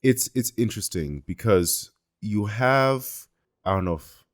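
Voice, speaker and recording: Deep-voiced man talking analytically. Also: expressive, unhurried. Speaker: podcast co-host. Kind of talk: casual analytical discussion.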